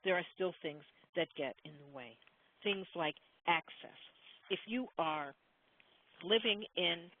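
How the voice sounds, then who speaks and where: A woman's voice: fairly low pitch, unhurried, a little hiss or hum. A woman clinician giving an earnest, emphatic webinar talk, sometimes acting out quoted voices. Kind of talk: lecture-style webinar talk. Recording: tinny phone-line webinar audio.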